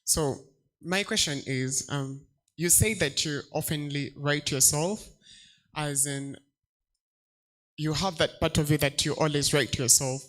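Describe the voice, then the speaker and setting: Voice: medium pitch, measured pace, a bit bright. Hesitant young audience questioner. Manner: hesitant, searching for words. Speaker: audience member asking a question.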